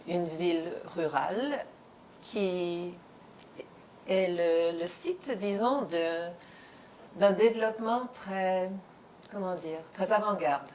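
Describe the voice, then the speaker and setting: A woman host speaks at a very slow, deliberate pace in a slightly low voice, often pausing to search for the right word. She sits at a dinner table in a home. Faint clinking of cutlery sits behind her. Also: darkish tone, slight background noise. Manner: slow and measured, searching for words. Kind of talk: hosted interview.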